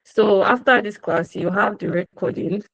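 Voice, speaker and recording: A woman's voice: mid-range voice, normal speed, gravelly, fairly bright tone, dry recording. A woman hosting an online class over a video call, chatty and welcoming, greeting attendees by name. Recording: muffled dry video call audio.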